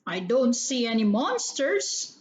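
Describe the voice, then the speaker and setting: Woman as a weary dad, firm, matter-of-fact, very slow delivery, storybook character. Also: fairly high pitch, very animated. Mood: firm, dismissive. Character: firm, weary father dismissing his child's fears. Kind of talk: children's storybook read-aloud.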